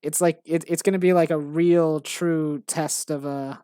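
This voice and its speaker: A woman's voice: sits low, fairly even intonation, a little slow, warm tone, no echo, studio quality. A woman chatting casually on a stream about the game she is making, rambling and self-mocking. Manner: rambling, lots of fillers and restarts, self-mocking. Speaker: game developer streaming her work.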